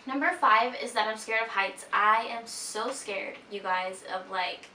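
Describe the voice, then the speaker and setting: A woman's voice: mid-range voice, slow, bright, forceful tone, a little hiss or hum. A woman in her twenties from Peru, a native Spanish speaker, chatting casually to her viewers in a sit-down vlog, with lots of "like" fillers and giggly asides. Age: 20s. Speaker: vlogger. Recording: roomy echo, voice a bit far from mic.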